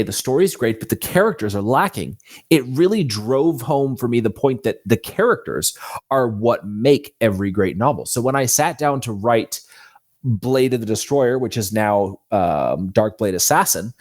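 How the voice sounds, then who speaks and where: Mid-pitched man telling stories casually. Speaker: guest author. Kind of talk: casual interview storytelling.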